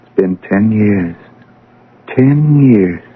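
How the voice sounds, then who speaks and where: An American actor playing a proud young scientist, shaken and bewildered, with intense, halting delivery in a radio drama. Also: male voice, on the low side, lively intonation, slightly rough, phone-quality sound, exaggerated voice. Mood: subdued, wistful. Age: young adult. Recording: noisy, band-limited old radio broadcast.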